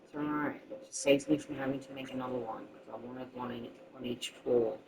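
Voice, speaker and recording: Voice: mid-range voice, fairly even intonation, fairly quick, recorded from a distance, a crowd in the background, poor audio. A game streamer chatting casually and thinking aloud in a rambling, sweary way.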